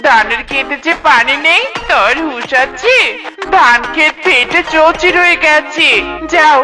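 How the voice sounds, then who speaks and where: A woman's voice: high-pitched, measured pace. A woman voicing a bossy, dramatic wife in a comedy cartoon, scolding and exclaiming. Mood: nagging. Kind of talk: Bengali comedy cartoon acting. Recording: music under the voice.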